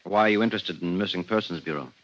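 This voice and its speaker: Guy acting as blunt cop husband in an old radio play, low voice, guarded, muffled vintage recording. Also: rapid-fire, lightly acted. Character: guarded, curt police investigator husband. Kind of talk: 1950s radio drama acting.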